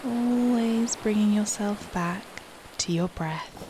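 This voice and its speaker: A woman softly leading a guided meditation in a soothing, unhurried manner, with breathy phrase endings and long pauses. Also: medium pitch, background noise.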